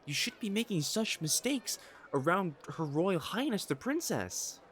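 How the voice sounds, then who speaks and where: Male actor for a prissy, lovestruck young celestial matchmaker in period romance audio drama: high-pitched, highly animated, pompous, prone to stammering. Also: unhurried, slight background noise, heavily acted. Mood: scolding, flustered. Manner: pompous, formal diction, stammering when flustered. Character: haughty, flustered, lovestruck young male divine matchmaker in imperial China. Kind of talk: romantic audio roleplay, theatrical monologue.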